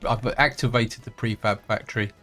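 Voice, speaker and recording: A man, croaky from a throat infection, casually thinking aloud as he plays through a strategy game, with lots of fillers and restarts. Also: on the low side, steady tone, brisk, fairly bright tone, faint music behind. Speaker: gameplay host. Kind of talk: casual let's-play commentary.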